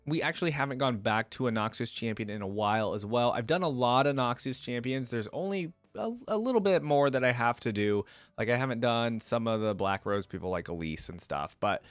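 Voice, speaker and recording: A man speaks as a video host in a casual reaction style. His pitch sits in the middle range for a man. The recording is dry, with hardly any room sound. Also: no echo.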